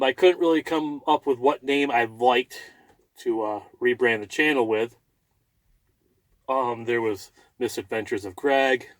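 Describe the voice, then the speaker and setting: A man's voice: mid-range voice, dry recording, poor audio. A man with a folksy, rambling manner, chatting casually as a vlogger inside a truck cab. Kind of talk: casual vlog chat.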